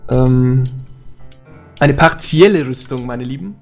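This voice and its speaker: A man's voice: medium pitch, takes it slowly, a little mellow. A man with a cold chatting casually while playing a video game, joking in a mock-formal way. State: has a cold. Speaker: Let's Play co-host. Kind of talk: casual gaming chat. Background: faint game music and keyboard typing.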